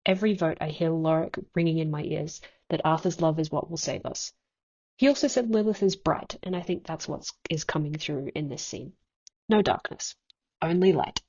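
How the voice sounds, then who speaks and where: On a muffled, lo-fi voice recording, a woman with a slightly low voice gives a reflective monologue. She sounds earnest and phrases her prepared thoughts with care. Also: moderate pace, darkish tone. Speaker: listener sending a voice message. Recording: dull, lo-fi voice message.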